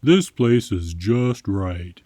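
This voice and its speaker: A man voicing a picky, plainspoken brown bear in a read-aloud picture book, with emphatic, deliberate delivery. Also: lowish voice, expressive, mellow, no echo, clean studio sound. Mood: contented.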